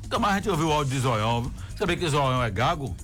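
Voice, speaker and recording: A man hosting a radio show, chatting and joking fast in casual banter. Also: mid-range voice, big pitch swings, a bit bright, soft background music. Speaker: radio host.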